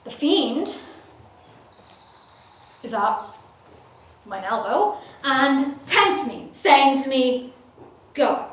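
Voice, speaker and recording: A woman playing a comic young male servant, talking through a lively stage soliloquy in an echoey hall from far off. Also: sits high, extremely slow, long pauses, slight background noise, heavily acted. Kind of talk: stage acting.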